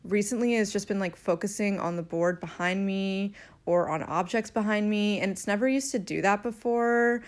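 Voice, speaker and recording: A woman's voice: mid-range voice, normal speed. Young woman vlogger with a cold, rambling apologetically into an on-camera mic. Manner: apologetic and rambling, animated hand gestures. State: sick, a bit nasal. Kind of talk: casual vlog, talking to camera. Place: bedroom with couch, indoors. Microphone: camera mic at arm's length.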